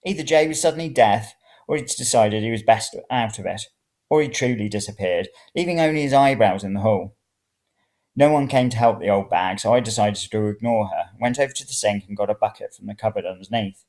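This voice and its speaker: A narrator reading first-person serial fiction in an expressive, dramatic way. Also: mid-range voice, fairly quick. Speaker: story reader.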